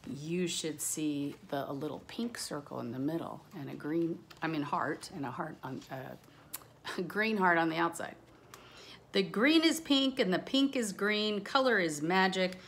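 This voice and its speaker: Slow-paced woman reading aloud. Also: lowish voice, a little hiss or hum. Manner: demonstrative, speaking directly to young listeners. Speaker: teacher reading a picture book aloud. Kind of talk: read-aloud of a rhyming children's book with casual explaining asides.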